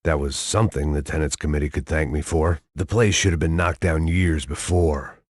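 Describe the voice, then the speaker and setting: A worn-out middle-aged ex-cop narrates a video game in a deadpan, bitter drawl. His voice sits very deep, and the studio sound is close and dry with no room around it. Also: normal speed, dry recording. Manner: deadpan, bitter, self-mocking.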